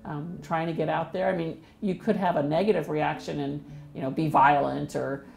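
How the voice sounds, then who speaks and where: A woman with a lowish, creaky voice talks conversationally in an interview. The room echoes around her. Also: fairly even intonation, moderate pace, darkish tone. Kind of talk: documentary interview.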